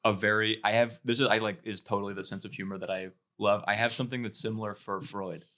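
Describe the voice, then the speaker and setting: A man's voice: on the low side, steady tone, quick pace, pushed and bright. A man chatting casually as he gives notes as a podcast table reader. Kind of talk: casual chat.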